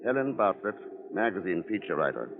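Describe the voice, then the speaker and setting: Low-voiced, wry secret agent. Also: male voice, big pitch swings, fairly quick, creaky, noisy background, exaggerated voice. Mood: stern. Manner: dry, commanding, clipped. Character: cool, wry, tough secret-agent hero. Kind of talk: 1940s radio acting. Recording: tinny phone-like old radio recording.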